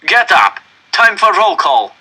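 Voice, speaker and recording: A man reading aloud voices a vicious guard who bellows every order in a strained, high, forceful shout. The tone is piercingly bright and cutting. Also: very animated, slow, slightly rough, exaggerated voice. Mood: furious. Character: angry brutal male camp guard.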